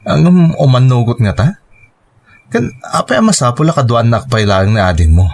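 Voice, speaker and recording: A man's voice: mid-range voice, sing-song, fairly quick. A man with an Ilocano accent acting a questioning, reluctant young boyfriend in a radio drama, over background noise. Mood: defensive, doubtful.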